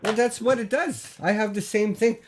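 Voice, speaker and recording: A man hosting a call-in show, bantering deadpan with a caller, full of hesitations and restarts. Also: high-pitched, normal speed, noisy background. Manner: hesitant, full of ums and restarts, straight-faced.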